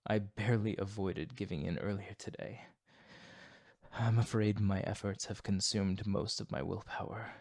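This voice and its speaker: A man playing a courteous, tormented vampire fighting his bloodlust in an ASMR roleplay, speaking formally and apologetically in a soft, intimate way. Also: on the low side, fairly even intonation, moderate pace, gravelly, no room sound, studio quality. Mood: restrained, confessing.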